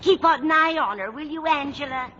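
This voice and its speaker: A woman's voice: sits high, normal speed, a bit bright. An actress playing a kindly, absent-minded older landlady who dithers earnestly in a 1940s radio comedy. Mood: fussing. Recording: muffled, hissy old radio broadcast.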